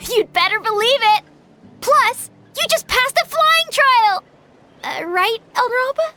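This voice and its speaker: An actress playing a youthful, cocky and insistent trainee in a video game. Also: female voice, very high-pitched, animated, a little slow, over music. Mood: confident. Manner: insistent, argumentative.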